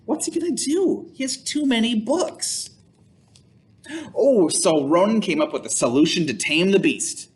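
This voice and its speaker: High-voiced, animated male storytime reader. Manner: theatrical, exclaiming, drawn-out emphasis. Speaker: children's storytime host reading a picture book aloud. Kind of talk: expressive children's read-aloud with playful asides.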